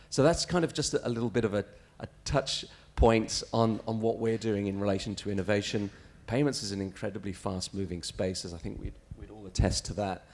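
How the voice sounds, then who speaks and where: A man with an Australian accent speaking on a conference panel, answering in a measured corporate way with small restarts. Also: low voice, darkish tone. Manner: measured, corporate, frequent self-corrections.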